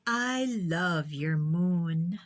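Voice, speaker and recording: Brief: woman playing picture-book schoolteacher, firm yet encouraging, instructive, animated intonation, measured pace. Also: lowish voice, pitched below the performer's own voice. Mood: pleased. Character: adult female schoolteacher, brisk, encouraging but firm.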